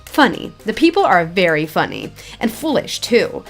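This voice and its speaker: A woman's voice: medium pitch, huge pitch swings, normal speed, creaky, soft background music, voice pushed down. A woman voicing a small, proud, bearded old female creature from a game, with an indignant, haughty manner. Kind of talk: game dialogue read aloud.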